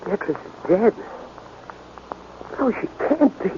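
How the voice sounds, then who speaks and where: An actor playing a desperate, grief-stricken young husband in a 1940s radio drama, sounding shaken and distraught. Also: male voice, high-pitched, very animated, slow pace, full character voice. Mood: stunned, disbelieving. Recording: dull, noisy old 1940s radio broadcast.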